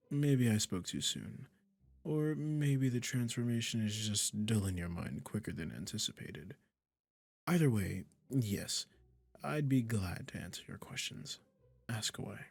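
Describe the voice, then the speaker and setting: A man's voice: fairly low pitch, vocal fry, slightly rough, full character voice. A man playing a possessive, sweetly menacing male witch in an intimate roleplay audio drama, coaxing and condescending with controlling endearments. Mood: pleased, condescending.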